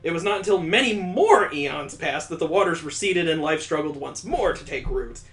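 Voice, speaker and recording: A man's voice: fairly high pitch, expressive, moderate pace, fairly bright tone, faint music behind. A man playing a story game, reading its text aloud and breaking in with wry, mocking asides. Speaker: let's play host.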